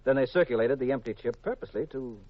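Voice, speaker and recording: An American actor playing a calm detective husband earnestly explaining himself in a 1950s radio drama. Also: male voice, mid-range voice, fast, no room sound, natural voice. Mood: matter-of-fact. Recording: dull, lo-fi 1950s radio recording.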